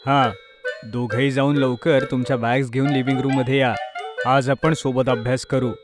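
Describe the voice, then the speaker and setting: A man's voice: mid-range voice, little pitch movement, normal speed, music in the background, voice pushed down, natural voice. A man voicing a strict, impatient father who sternly instructs and scolds his children in a cartoon story. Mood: firm.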